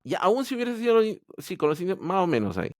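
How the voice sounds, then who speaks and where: A man's voice: high voice, huge pitch swings, quick pace, no echo, studio quality. A man from Peru, telling his story as a podcast guest in a candid, hesitant way full of restarts. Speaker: podcast guest, Android developer. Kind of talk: casual interview storytelling.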